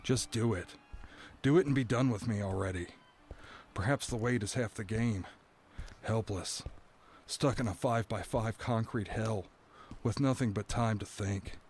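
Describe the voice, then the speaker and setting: A man's voice: lowish voice, unhurried, a little mellow, a little hiss or hum. An actor playing a dread-filled prisoner awaiting his doom, delivering a brooding first-person monologue in an audio drama. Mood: desperate. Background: background music.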